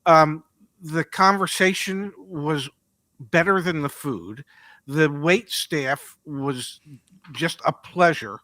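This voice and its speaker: Bright-voiced American podcast host. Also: male voice, a little high, takes it slowly, no room sound. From United States. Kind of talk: conversational interview.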